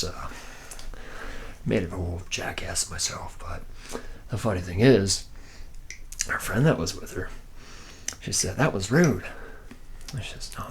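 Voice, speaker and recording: A man playing a laid-back, boozy womanizing factory worker in an ASMR roleplay, talking in a casual, slangy ramble. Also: deep voice.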